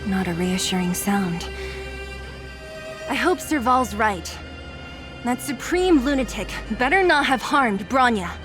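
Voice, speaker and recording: A scrappy young female freedom fighter in a video game, speaks in a highish voice. She is frank and tough, never fancy with her words. Music plays clearly behind her. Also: lively intonation, slow pace, long pauses. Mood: uneasy, protective.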